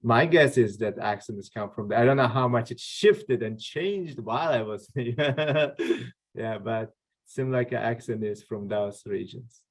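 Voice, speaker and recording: A man's voice: medium pitch, unhurried. A man from Azerbaijan with an Azerbaijani accent, speaking as a podcast guest in a polite, formal way with shy laughs. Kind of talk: casual interview chat.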